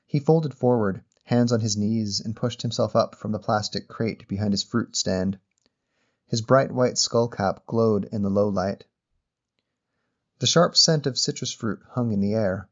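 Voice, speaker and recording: A man reading a literary short story as narrator in a measured, descriptive manner. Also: fairly low pitch, dull audio.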